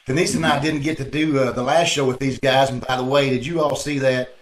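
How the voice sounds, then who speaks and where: A man's voice: medium pitch, steady tone, brisk. An American man chatting casually as co-host of a troupe's show over a video call. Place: indoor room, video call. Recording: roomy, echoing video-call sound.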